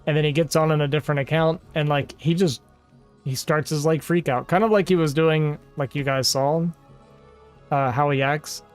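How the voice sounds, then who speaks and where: Male game streamer, slightly high voice, rambling, exasperated, casual chat with viewers, faint music behind. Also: fairly even intonation, normal speed, smooth. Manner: rambling and exasperated, heavy use of "like".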